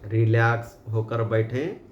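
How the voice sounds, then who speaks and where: A man with an Indian accent leading a guided meditation, giving calm, unhurried and gently directive instructions. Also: lowish voice. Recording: muffled, roomy sound with background hiss.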